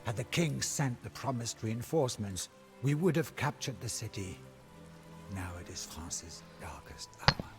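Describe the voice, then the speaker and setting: War-weary French soldier, game cutscene narrator, grave and theatrical, creaky deep voice, measured delivery, prominent musical score. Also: male voice, expressive, loud music behind the voice. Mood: grieving, bleak. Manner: solemn, theatrical, measured delivery with hushed phrase endings. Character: battle-weary French soldier narrator, devoted to Joan of Arc. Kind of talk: dramatic storybook journal narration.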